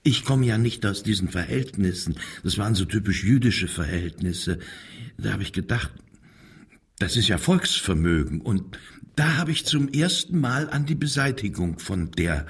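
An actor playing an evasive, bigoted, self-pitying murderer making a halting, defensive confession in a German radio crime drama. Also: male voice, fairly low pitch, expressive, breathy edge. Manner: halting, defensive, wavering between muted and agitated.

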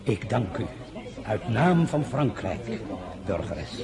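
A man's voice: fairly low pitch, very animated, slow pace, crowd noise, exaggerated voice. An actor playing a dignified, fatherly old French general, speaking formally and solemnly. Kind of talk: radio play acting.

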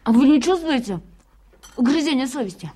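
A woman's voice: high voice, expressive, fairly quick, warm tone, husky. An actress playing a playful, cheeky young girl student in a radio drama, exclaiming and teasing in a childlike way. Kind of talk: Soviet radio drama acting.

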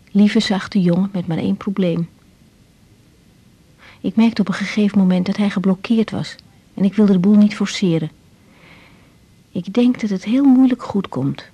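A woman's voice: mid-range voice, measured pace, long pauses, dark tone, breathy edge. An actress playing an intense, caring young woman doctor with a Netherlands Dutch accent in a radio drama, speaking in a confiding, insistent manner. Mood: introspective, weary. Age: young adult. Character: intense young female doctor, caring but exasperated daughter. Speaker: lead actress in radio serial. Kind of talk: 1980s radio drama, intimate monologue and dialogue.

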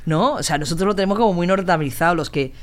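A man's voice: sits high, fast talker. A man with an accent from Spain in casual discussion, often repeating words as he works out a thought. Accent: Spanish from Spain. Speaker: podcast participant and activist. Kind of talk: casual chat.

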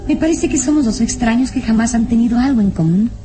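An actress playing an anxious, reluctant young bride-to-be, with a hesitant, dramatic old radio delivery. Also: female voice, fairly high pitch, fast talker, natural voice. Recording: vintage radio serial with music behind.

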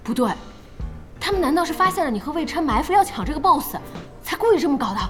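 An excitable, naive young lady in an audio drama. Her voice is high and lively, eagerly inquisitive, with faint music behind it. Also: fast talker. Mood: puzzled, curious. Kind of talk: Mandarin audio drama acting.

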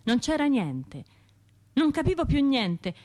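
A woman's voice: high-pitched, very animated, takes it slowly, heavily acted. An actress playing a traumatised, self-reproaching woman survivor in a radio drama monologue, speaking in fragmented, obsessively repeated phrases. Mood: despairing, guilty. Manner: fragmented, repetitive, obsessive delivery with hushed and surging phrases.

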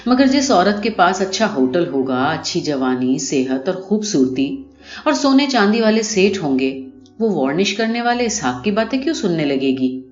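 A woman reading a short story aloud in an expressive, scripted narration style. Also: fairly low pitch. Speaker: audiobook narrator. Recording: muffled, roomy recording with music behind. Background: music.

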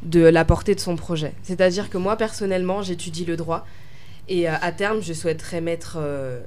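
A woman's voice: on the low side, normal speed, darkish tone. A young woman from France answering interview questions as a pageant winner, hesitant and full of fillers and restarts. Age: young adult.

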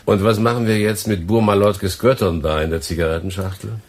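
A wry studio colleague. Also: male voice, on the low side, fairly even intonation, a little fast, a little mellow. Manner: casual, wry.

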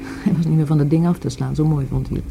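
An actress in a Dutch radio drama playing a wary woman questioned about her dead friend, with a husky voice. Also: deep voice, fairly even intonation, fast, warm tone, soft background music. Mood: reminiscing.

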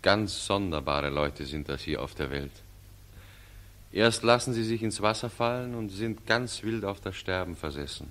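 An actor playing a non-human being who muses scornfully on humans, declamatory and mocking, in a 1940s German radio play. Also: male voice, low voice, expressive, normal speed, fairly bright tone. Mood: bemused scorn. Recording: vintage 1940s radio recording with music.

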